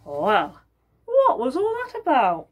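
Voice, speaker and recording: A woman's voice: high-pitched, huge pitch swings, takes it slowly, voice pushed up, heavily acted. A woman voicing a sly, grumbling husband in a folktale, exasperated and muttering.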